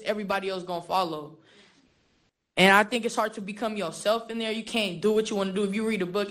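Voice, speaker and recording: A young woman from the Philippines and San Francisco giving informal personal testimony in a casual, halting way with lots of "like". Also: medium pitch, a bit bright. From born in the Philippines, raised in San Francisco from age eight. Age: young adult.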